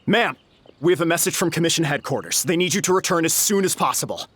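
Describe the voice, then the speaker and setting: A man's voice: fairly high pitch, animated, fast talker, a bit bright, breathy edge. An actor playing a deferential male subordinate in a video game, formal and dutiful, reporting with urgency. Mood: urgent, respectful. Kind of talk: English video game voice acting.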